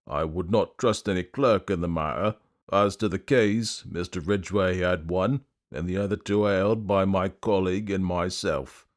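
A man's voice: low-pitched, moderate pace, lightly acted. A man voicing a grave older male banker who speaks precisely and in a dry, businesslike way.

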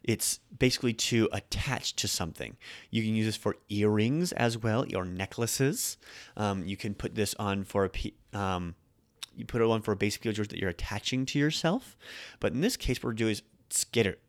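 Chill guy teaching japanese words, kinda low voice, upbeat and chatty, dry close mic. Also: fairly quick, dry recording. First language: English. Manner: upbeat, conversational explanations. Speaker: podcast host teaching Japanese. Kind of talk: casual language lesson.

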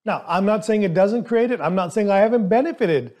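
A man who speaks English as a second language, hosting a solo podcast as a dentist and coaching his listeners in an emphatic, animated way. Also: high voice, a little fast. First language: not English.

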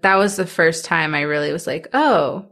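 A woman's voice: low voice, expressive, normal speed. A young woman in her twenties who stutters, speaking candidly as an interviewee with restarts, repeated sounds and light laughs. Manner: soft-spoken, laughs between thoughts.

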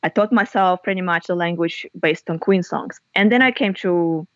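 A woman's voice: lowish voice, normal speed, dry recording, muffled recording. A woman in her thirties from Russia with a Russian accent, telling stories animatedly in an interview and laughing often. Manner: animated storyteller, laughs often, restarts phrases. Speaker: guest author. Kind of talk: casual interview chat.

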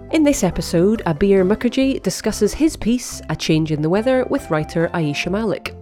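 A woman with a low voice presents a podcast from a script. She reads a prepared introduction, with music faintly behind her. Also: a little mellow.

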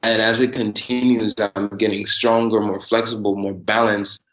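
A man in his twenties from Trinidad and Tobago, talking casually as a podcast guest, animated and quick to laugh. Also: fairly low pitch, poor audio. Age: 20s. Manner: animated, laughs often, spiritual slang-heavy talk. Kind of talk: casual conversational interview.

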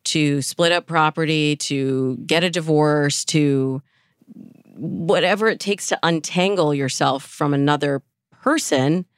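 Low-voiced woman coach, close and dry. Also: unhurried, clear, resonant tone, no echo. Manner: explanatory, speaks in illustrative examples. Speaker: dating coach, interview guest. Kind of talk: conversational relationship advice.

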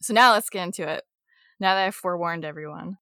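A woman's voice: on the low side, fast, noisy background. A woman co-hosting a true-crime podcast, chatting casually and reading news, a bit sniffly and congested. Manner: chatty, laughs easily, many fillers. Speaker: podcast co-host.

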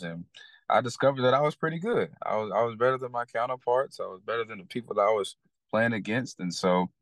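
Young Texan man, deep voice, dry close recording, easygoing podcast guest. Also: no echo. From Texas. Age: young adult. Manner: relaxed, many fillers and restarts. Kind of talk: casual storytelling.

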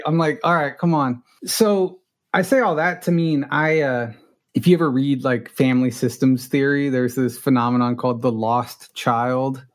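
A middle-aged man from Los Angeles whose first language was Spanish, a guest telling his own story in a candid, self-deprecating way with frequent restarts. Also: a little high.

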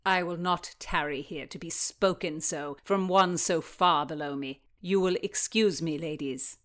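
A woman's voice: low voice, measured pace, a bit bright. A woman voicing a haughty nobleman in a historical story, pompous and flowery in his speech. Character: haughty, baiting, flattering nobleman. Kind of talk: dramatized historical fiction reading.

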